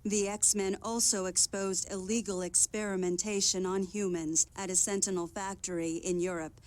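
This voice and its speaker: A woman acting as a composed TV news anchor in a video game. She reads formally and evenly at a medium pitch. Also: flat delivery, a little mellow, close to an everyday voice, poor audio. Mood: neutral. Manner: formal, measured. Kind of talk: scripted news reading.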